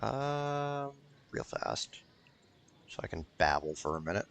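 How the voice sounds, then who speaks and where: A man's voice: on the low side, sing-song, takes it slowly, vocal fry, fairly bright tone. A man giving casual gameplay commentary in a rambling, wry, sometimes profane way. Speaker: gaming streamer.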